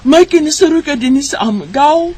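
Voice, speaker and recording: An actress playing a cheerful young cousin in a radio drama, with a Filipino Bisaya accent. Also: female voice, high-pitched, lively intonation, normal speed, dark tone. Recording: very noisy amateur recording.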